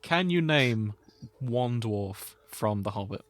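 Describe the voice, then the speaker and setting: A man's voice: medium pitch, lively intonation, slow, no echo. A man hosting a casual podcast, joking and teasing with his friends. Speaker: podcast host.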